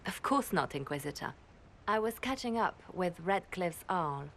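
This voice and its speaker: Poised female religious leader, formal and reassuring, lower pitch; for a game. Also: expressive, unhurried, a bit bright, slight background noise. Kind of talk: video game cutscene acting.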